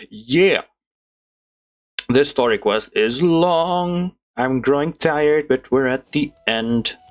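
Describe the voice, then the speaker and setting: A streamer giving casual, playful gameplay commentary in rambling gamer slang. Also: medium pitch. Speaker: streamer playing a video game. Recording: faint game music underneath.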